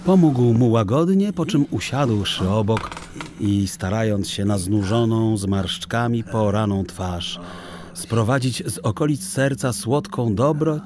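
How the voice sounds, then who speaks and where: A man narrating a children's audiobook in a theatrical, deliberate storytelling style. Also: lowish voice, a crowd in the background.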